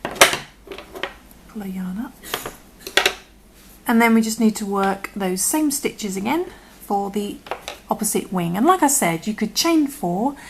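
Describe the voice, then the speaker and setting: Woman, croaky voice, patient crochet tutorial, mid pitch, slight background noise. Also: expressive, slow, pauses often. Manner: patient, often drops to a half-whisper while working. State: croaky from illness. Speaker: crochet instructor. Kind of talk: step-by-step tutorial.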